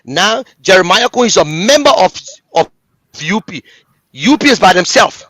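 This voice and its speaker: Impassioned Liberian man, high voice. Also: lively intonation, moderate pace, fairly bright tone, slightly rough, dry recording. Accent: Liberian English. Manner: impassioned, repetitive, rhetorical address to listeners. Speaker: talk show host. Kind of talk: political commentary.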